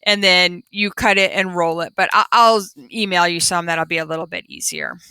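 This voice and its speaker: A woman's voice: lowish voice, big pitch swings, normal speed, bright, forceful tone, clear, resonant tone, dry recording. A woman teacher reading a children's book aloud and adding simple explanations for young pupils. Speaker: primary school teacher.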